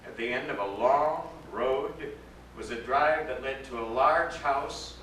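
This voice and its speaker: A man giving a dramatic live reading of a story, expressive and theatrical, lingering over his words. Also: mid-range voice, unhurried. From United States. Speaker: actor reading a story aloud. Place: event at a ranch, roomy space. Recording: echoey distant live recording with background noise.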